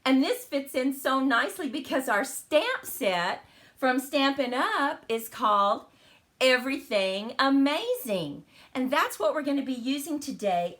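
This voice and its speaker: Chatty woman host, a bit crackly from the flu, higher pitch and a sharp bright tone. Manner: cheerful, chatty and welcoming. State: slightly crackly voice after the flu. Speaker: host of a card-making channel. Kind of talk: live stream chat and crafting demo.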